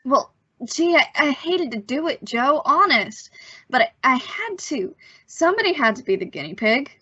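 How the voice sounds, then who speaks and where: A woman plays a plucky teenage boy in an old radio thriller. The voice is high and bright, with an earnest, slightly hesitant gee-whiz delivery. It sounds close and dry, with dulled lo-fi audio. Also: sing-song, moderate pace, no room sound, exaggerated voice. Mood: sheepish, earnest. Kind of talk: old-time radio suspense acting.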